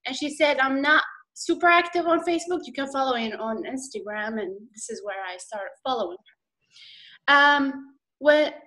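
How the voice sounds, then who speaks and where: A woman's voice: high-pitched, fairly bright tone, poor audio. A woman with an Iraqi accent telling her personal story as a guest on a video call, hesitant and slightly nervous, with many fillers. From Iraq.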